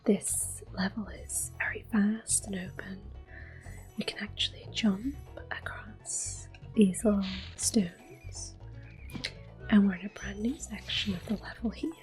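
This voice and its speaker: Woman, ASMR gameplay commentary, breathy whisper, gentle, slow pace, soft music behind. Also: mid-range voice, steady tone. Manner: gentle, unhurried. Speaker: gameplay host. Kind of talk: soft-spoken ASMR video game commentary. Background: soft game music.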